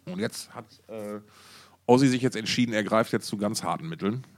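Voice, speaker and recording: A man co-hosting a podcast in casual, colloquial chat, thinking aloud with halting phrasing. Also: on the low side, no echo. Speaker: podcast co-host. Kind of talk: casual chat about music.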